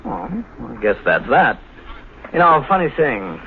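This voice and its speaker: An actor playing a police lieutenant in a 1950s radio mystery, casually probing a suspect with pointed, insinuating questions. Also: male voice, medium pitch, sing-song, unhurried. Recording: tinny, faintly hissy 1950s radio recording.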